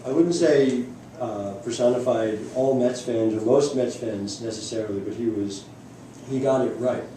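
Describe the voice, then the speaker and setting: A man's voice: medium pitch, moderate pace, warm tone. A man reading a prepared essay aloud to an audience. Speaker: reader at a library book reading. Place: indoor room at a public library event. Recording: echoey room, distant mic, faint noise.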